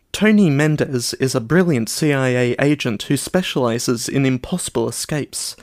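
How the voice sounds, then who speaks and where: A man with a mid-pitched, fry-heavy voice co-hosts a film podcast. He talks casually in a halting, dryly playful way, and the sound is close and dry. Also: dry recording. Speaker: podcast co-host.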